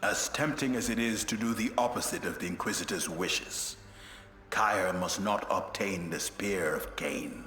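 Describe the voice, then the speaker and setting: A man playing a grave, brooding space marine commander in a video game, speaking solemnly and deliberately. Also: low voice, pushed and bright. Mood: brooding, wary. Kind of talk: dramatic video game acting. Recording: echoey hall, faint music behind.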